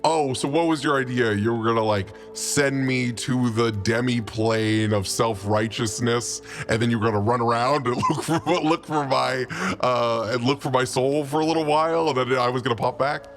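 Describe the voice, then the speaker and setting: A man's voice: medium pitch, fairly even intonation, moderate pace, lightly acted. A man playing a petulant, self-righteous undead lich in a tabletop game, talking in a whiny, sarcastic, modern slangy way. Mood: mocking. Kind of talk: improvised tabletop game roleplay. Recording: music bed behind the voice.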